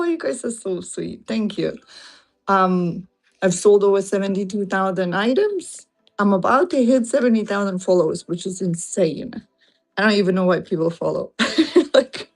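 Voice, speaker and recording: A woman from Lithuania with a Lithuanian accent, hosting a casual late-night livestream from home, greeting viewers and laughing often as she talks. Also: mid-range voice. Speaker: livestream seller host. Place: home room, sitting on carpet.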